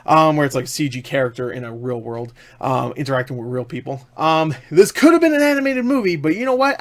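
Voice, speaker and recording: A man giving a casual, unscripted film review in an enthusiastic, emphatic, rambling way, often repeating himself. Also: fairly high pitch.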